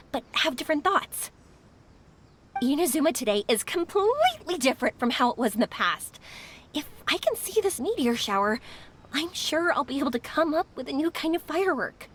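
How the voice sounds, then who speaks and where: A woman voicing a bubbly, friendly young firework maker in a video game, chatty and enthusiastic. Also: high-pitched.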